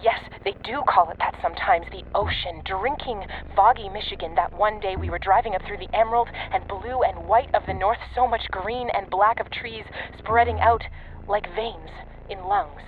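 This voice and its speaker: A woman's voice: medium pitch, moderate pace, a little hiss or hum. An actress playing a sardonic, blunt female secret agent in an audio drama, cornered and unravelling, heard through a radio filter. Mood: dazed, rambling. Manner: blunt, terse, swearing. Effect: radio transmission filter. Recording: tinny narrow-band radio with music.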